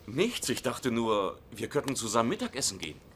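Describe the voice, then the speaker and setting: An actor playing a goofy, boastful working-class husband in a dubbed sitcom, with a playful, exaggerated delivery. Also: male voice, medium pitch, sing-song, fairly quick, very noisy, close to an everyday voice. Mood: eager.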